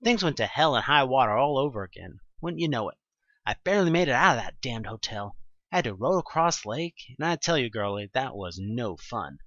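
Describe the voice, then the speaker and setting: A man acting a gruff, world-weary male survivor with a salty, folksy, offhand way of talking in an amateur audio drama. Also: mid-range voice, very animated, fairly quick, a bit bright, dry recording, highs cut off. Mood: weary, wry.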